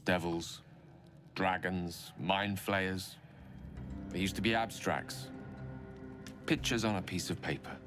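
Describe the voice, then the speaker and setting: An actor playing a sardonic, brooding wizard in a video game, with wry, measured and articulate delivery. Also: male voice, deep voice, fairly bright tone, soft background music. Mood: sombre, musing. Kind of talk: video game dramatic dialogue.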